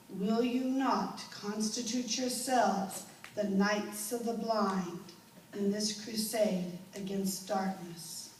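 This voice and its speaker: Woman acting a slow, solemn deaf-blind woman orator. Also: a little high. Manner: deliberate, solemn, halting phrasing. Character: Helen Keller, earnest deaf-blind woman pleading for the blind. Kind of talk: theatrical speech to an audience. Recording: echoey room, distant mic, faint noise.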